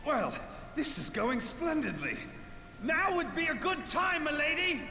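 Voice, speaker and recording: Bright, high-pitched gallant young rogue. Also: male voice, very animated, a little slow, heavily acted. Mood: strained, sardonic. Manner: theatrical, wry, courtly phrasing. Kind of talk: scripted fantasy game cutscene acting. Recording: echoey hall reverb with music behind.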